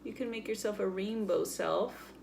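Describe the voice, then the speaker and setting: Woman with creaky voice, chatting to children. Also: mid-range voice, moderate pace, darkish tone, slight background noise. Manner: playful, explains simply, chatty. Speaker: children's story-time presenter. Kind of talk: casual show-and-tell for children.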